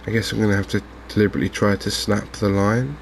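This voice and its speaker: Softly spoken man, low and very even. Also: vocal fry, slight background noise. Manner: calm, understated, unhurried running commentary. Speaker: gaming video host. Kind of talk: softly spoken ASMR game commentary.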